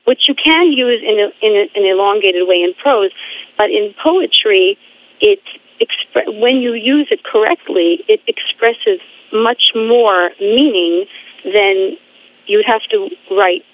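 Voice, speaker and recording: Woman, phone interview guest, halting, repeats words, tinny phone sound. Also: medium pitch, expressive, dry recording. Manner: halting, repeats words while searching for phrasing. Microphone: phone line.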